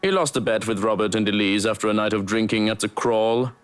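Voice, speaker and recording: A man playing a calm, tattling male companion in a visual novel game. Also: on the low side, fairly quick, smooth, faint music behind, lightly acted. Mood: matter-of-fact.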